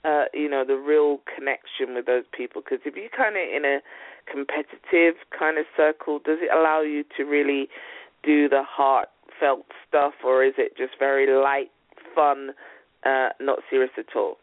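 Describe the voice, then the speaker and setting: A male radio host with a cold and a blocked-up nose, talking in a friendly, halting way with many fillers. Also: medium pitch, fairly even intonation, dry recording. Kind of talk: casual radio interview. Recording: tinny phone-line sound.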